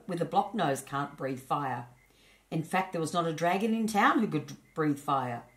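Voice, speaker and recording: Woman, storyteller, fairly low pitch, children's storybook narration, scripted reading.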